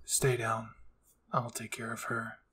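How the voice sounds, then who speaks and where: A man's voice: medium pitch, completely flat delivery, takes it slowly, bright, forceful tone, husky, pitched below the performer's own voice, full character voice. A man voicing a curt male soldier who takes charge with terse commands.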